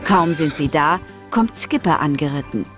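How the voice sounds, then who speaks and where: A female narrator reads a scripted children's story. Her voice sits a little low, with clear music behind it. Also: animated, moderate pace.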